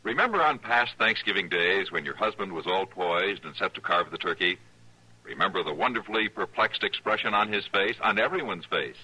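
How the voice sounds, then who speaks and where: A man's voice: low voice, brisk, pushed and bright, a little hiss or hum, poor audio. A male announcer reading a persuasive 1950s radio ad and credits. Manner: smooth, persuasive, emphatic.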